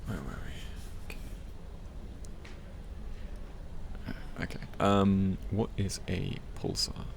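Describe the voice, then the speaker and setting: A man playing a tender, teasing partner in a soft-spoken ASMR roleplay, soothing and gentle as he helps a loved one study. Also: low voice, a little slow, vocal fry, slight background noise, exaggerated voice. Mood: patient, helpful. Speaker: ASMR voice actor. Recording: faint background library ambience.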